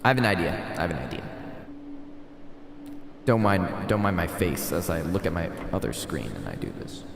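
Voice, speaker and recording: A man's voice: low-pitched, sing-song, normal speed, gravelly, slight background noise. A man hosting a livestream, moving between rambling casual chat to himself and dramatic reading of a spooky story aloud. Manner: rambling, talks to himself while tinkering. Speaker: streamer reading a visual novel aloud. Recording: heavy added reverb and echo, music.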